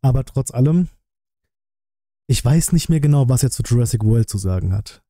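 A man's voice: mid-range voice, animated, moderate pace, warm tone, no room sound. A man in his thirties giving casual reaction commentary as a streamer, in blunt, slangy, colloquial speech on a close microphone. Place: small indoor streaming room. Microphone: close desk microphone.

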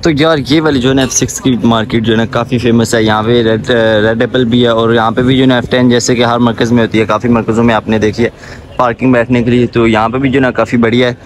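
Young male vlogger, Pakistani accent, quick casual chatty delivery, mid pitch, noisy street recording. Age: 20s. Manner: chatty, addresses viewers informally as buddies. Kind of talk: casual walking-tour vlog. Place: outdoors on busy city streets. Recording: clear outdoor street and traffic noise.

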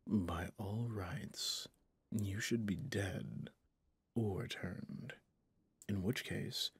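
Man acting a very husky, low vampire hunter. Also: no echo, heavily acted. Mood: suspicious, brooding. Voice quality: husky, breathy, gravelly, often dropping to a whisper. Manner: slow, commanding, interrogating. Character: stern centuries-old male vampire hunter, menacing and brooding. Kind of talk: ASMR audio roleplay.

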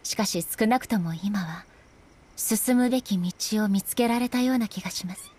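A woman playing a composed, solemn ruler deity in a video game, speaking formally and with measured politeness. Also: medium pitch, darkish tone, a little hiss or hum, lightly acted. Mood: solemn, resolute. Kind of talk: video game dialogue acting. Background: game background music.

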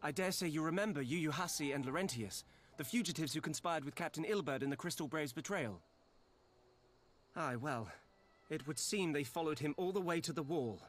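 An actor playing an earnest, dutiful, diminutive elfin soldier in a video game, with formal, precise speech. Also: male voice, fairly high pitch, normal speed, a bit bright. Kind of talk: scripted video game dialogue.

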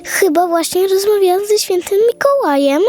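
A woman plays a little girl with a very high, childlike voice. She is earnest, wide-eyed and grateful, a storybook heroine, with music behind her. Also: lively intonation, moderate pace, warm tone. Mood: awed. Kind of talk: children's audio fairy tale.

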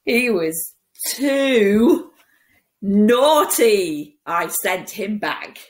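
Very slow, expressive woman reading to children. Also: mid-range voice. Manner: expressive, playful, with dramatic pauses and hushed asides. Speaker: primary school teacher reading a picture book. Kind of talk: animated children's story reading. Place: small room at home, indoors.

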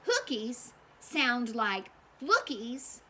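A woman's voice: a little high, big pitch swings, extremely slow, pushed and bright, exaggerated voice. A woman voicing a clever, earnest little storybook boy who carefully sounds out his words. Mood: thoughtful.

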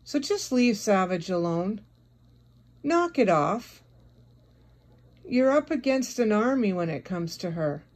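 A woman's voice: medium pitch, big pitch swings, takes it slowly, long pauses, a little mellow. A woman giving a confrontational, scolding monologue addressed directly at someone, as an online video creator.

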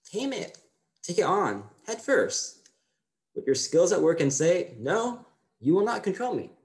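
A man's voice: sits high, sing-song, unhurried, exaggerated voice. A man playing a bossy six-year-old boy lecturing playmates in an acting monologue, with an insistent, know-it-all manner. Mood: bossy, cocky.

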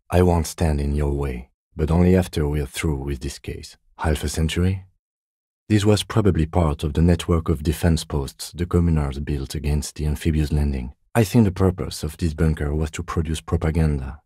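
An actor playing a composed, dry police lieutenant in a video game, speaking softly in a measured, restrained deadpan with a slightly husky voice. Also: male voice, extremely low pitch, no room sound. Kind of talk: video game dialogue acting.

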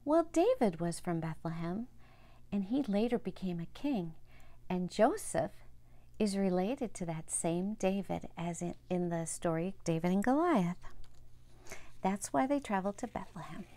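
A woman in her fifties reading a story aloud to young children and gently explaining it in simple words. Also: medium pitch, expressive, unhurried, dry recording. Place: indoors, home room with bookshelves. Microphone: lapel mic.

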